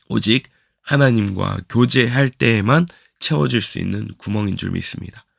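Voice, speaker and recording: A man, a pastor, giving a slow, earnest devotional sermon, his voice hoarse from a cold. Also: fairly low pitch, fairly bright tone, dry recording, studio quality. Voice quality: gravelly. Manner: slow, earnest preaching with repeated reflective questions.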